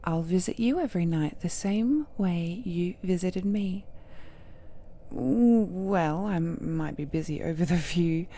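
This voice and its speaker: A woman playing an ancient godlike villainess in an intimate audio drama, seductive, possessive and falsely tender, with coy endearments and a breathy voice that often drops to a whisper. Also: on the low side, warm tone. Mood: soothing, possessive. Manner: slow, coy, drawn-out endearments with teasing murmurs.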